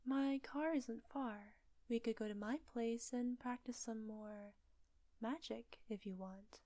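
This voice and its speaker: A woman acting a seductive, controlling witch in an intimate roleplay audio drama, with breathy, teasing, commanding delivery. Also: fairly high pitch, steady tone, slow, mellow, no room sound.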